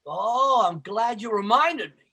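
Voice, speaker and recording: A working-class neighbor and best pal from a 1940s radio sitcom. The voice is pitched high, with a bright, forceful edge. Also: male voice, animated, a little slow, husky, full character voice. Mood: chummy. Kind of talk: 1940s radio comedy acting.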